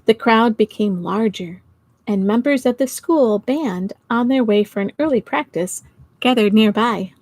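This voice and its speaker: Slow-paced, higher-voiced grandmother reading aloud. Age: middle-aged or older. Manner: giggly, expressive, pausing to chat with young listeners. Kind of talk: storytime read-aloud for children. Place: small indoor home office.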